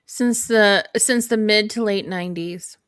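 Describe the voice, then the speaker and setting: A woman's voice: medium pitch, a little slow, fairly bright tone, clear, resonant tone, dry recording, clean studio sound. A woman from Minnesota speaking earnestly as a guest on a podcast panel. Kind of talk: casual panel chat.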